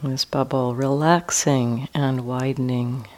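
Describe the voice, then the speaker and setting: A woman teaching a guided meditation in a calm, gentle manner with long pauses between phrases. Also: very deep voice.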